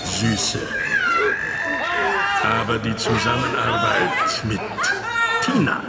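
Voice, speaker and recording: Death as a sly, businesslike rogue in a radio drama. He is flippant and drawls very slowly, his high voice swooping widely over music. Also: wildly swooping pitch, a loud crowd.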